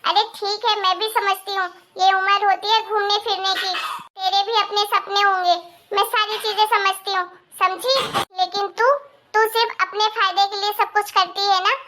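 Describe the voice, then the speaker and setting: A woman's voice: very high voice, little pitch movement, moderate pace, bright, forceful tone, a little hiss or hum. A woman voicing a stern, suspicious grandmother who scolds her daughter-in-law in a moral-story cartoon, accusatory and commanding. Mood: angry scolding.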